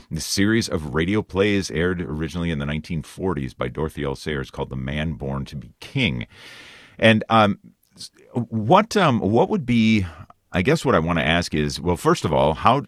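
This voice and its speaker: A man's voice: rumbling low, fairly bright tone, dry recording, clean studio sound. A man hosting a conversational radio interview, feeling his way through questions and rephrasing them as he goes. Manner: halting, rephrases questions repeatedly.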